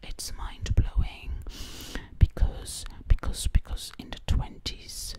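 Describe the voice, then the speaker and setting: A young woman performs ASMR in a breathy, unvoiced whisper, very close to the microphone. She takes her time, moving slowly and repeating words and short phrases in a rhythmic way. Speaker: ASMR performer playing a time-travelling zombie princess. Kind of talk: ASMR whispered roleplay ramble. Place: dim home room, indoors. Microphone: close ear-to-ear microphone.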